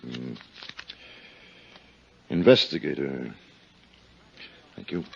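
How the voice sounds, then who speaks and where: A man's voice: a bass voice, drawn-out words, creaky, a little hiss or hum, exaggerated voice. A man playing a middle-aged company president, formal, courteous and guarded, in a 1950s radio drama. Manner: formal, polite. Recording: dull 1950s radio broadcast recording.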